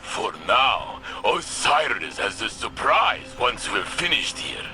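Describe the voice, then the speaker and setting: A man voicing an earnest, warm-hearted veteran warrior in a sci-fi video game. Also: medium pitch, big pitch swings, takes it slowly, full character voice. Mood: earnest, curious. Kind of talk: video game character dialogue. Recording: music behind the voice.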